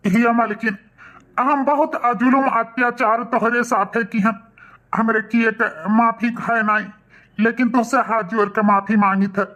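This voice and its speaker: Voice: medium pitch, fairly even intonation, unhurried, bright, forceful tone. A voice actor playing a remorseful village husband and son in a cartoon, earnest and humbly pleading, with an Awadhi accent. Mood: pleading, apologetic.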